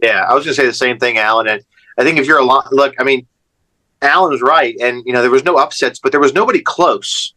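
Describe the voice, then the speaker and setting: A man's voice: mid-range voice, fast, pushed and bright, poor audio. A man on a sports podcast panel, chatting casually in a blunt, conversational way. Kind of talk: casual chat.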